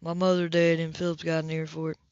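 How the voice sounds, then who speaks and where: Gruff frustrated man, read by a woman, muffled, dry sound. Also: sits low, completely flat delivery, quick pace, a little mellow, no room sound, pitched below the performer's own voice. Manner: curses and grumbles.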